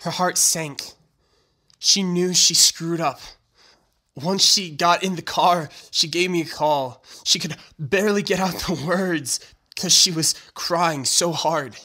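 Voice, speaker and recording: A man acting in an audio drama as a doomed young man, loving and resolute, speaking haltingly and earnestly in a breathy, strained voice. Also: fairly high pitch, pushed and bright, full character voice. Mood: tender, resigned.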